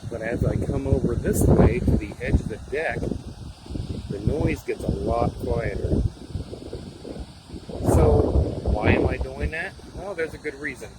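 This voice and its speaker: A man's voice: mid-range voice, unhurried, very noisy. An American man giving a casual show-and-tell narration as a hobbyist video host.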